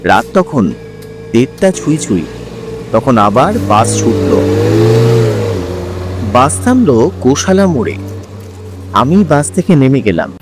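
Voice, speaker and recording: A man narrating a scripted first-person horror story, dramatic and suspenseful, in deliberate phrases broken by pauses. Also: mid-range voice, very animated, noisy background.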